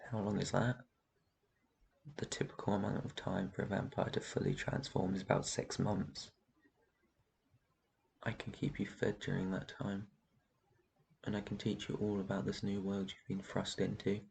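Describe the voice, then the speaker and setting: Man as a low, gravelly, protective ancient vampire. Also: monotone, moderate pace, long pauses, slight background noise. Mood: reassuring, protective. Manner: soft shushing, reassuring, tender endearments. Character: very old, protective male vampire master, gentle and soothing. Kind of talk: roleplay ASMR, intimate one-sided dialogue.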